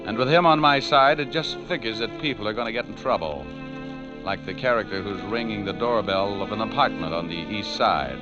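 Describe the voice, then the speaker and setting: A man's voice: lowish voice, a little fast, natural voice. An American man playing a wisecracking, money-loving private detective in a breezy, sardonic 1940s radio noir style. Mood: wry. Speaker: lead actor and narrator. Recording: muffled old radio recording, music behind.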